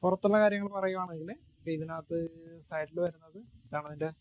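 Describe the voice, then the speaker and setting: A man giving a casual, halting unboxing demonstration, pausing to search for words. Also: a little high, fairly even intonation, darkish tone, dull audio. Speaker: product reviewer. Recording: noisy amateur recording with ambient sound.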